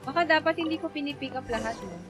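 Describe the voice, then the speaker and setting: A woman's voice: high-pitched, racing, music in the background. A woman with a Filipino accent chatting casually as she livestreams a game, easygoing and thinking aloud, switching between languages. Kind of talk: casual livestream chat while playing a game.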